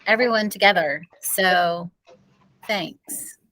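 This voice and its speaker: A woman voicing an earnest fifth-grade schoolgirl in a storybook, speaking deliberately, word by word. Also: lowish voice, bright, forceful tone, rough recording. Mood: earnest, halting.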